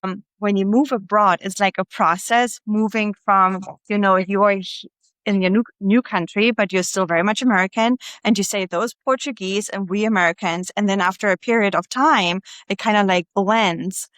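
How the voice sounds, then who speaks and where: A woman's voice: medium pitch, steady tone, normal speed, fairly bright tone, no echo. A woman, hosting a podcast and chatting with a guest, rambling and laughing often. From Germany. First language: German. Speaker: podcast host. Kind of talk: casual interview chat.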